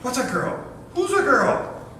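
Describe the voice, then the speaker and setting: A man acts out a blustering, bewildered new father from an old stage farce. His voice is bright and forceful with very lively swings of pitch, full of indignant exclamation. He is heard from far off in a reverberant room. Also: a little slow, exaggerated voice. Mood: groggy, puzzled. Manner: exclamatory, indignant, mildly swearing. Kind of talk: readers theater comedy acting. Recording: echoey room from far off, faint noise.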